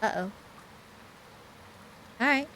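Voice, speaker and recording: A woman doing a chatty, expressive playthrough, reading a story game aloud and commenting as she goes. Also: takes it slowly, a little hiss or hum. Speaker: gamer host reading a visual novel aloud.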